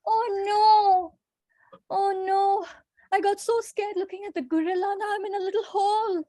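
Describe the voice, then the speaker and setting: A woman's voice: extremely high pitch, fairly even intonation, moderate pace, dark tone, dry recording. A woman voicing a cheerful little girl exploring a forest, exclaiming and whispering in wonder over a video call. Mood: scared. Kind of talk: improvised storytelling acting.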